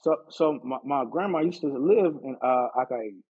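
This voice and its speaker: A man with an American accent, talking casually as an interview guest, haltingly repeating phrases as he recounts his experience. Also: mid-range voice, animated, muffled recording. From Orlando, Florida, United States. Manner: halting, repeats phrases, checks in with "you know". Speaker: podcast guest, martial arts instructor. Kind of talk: casual conversational storytelling.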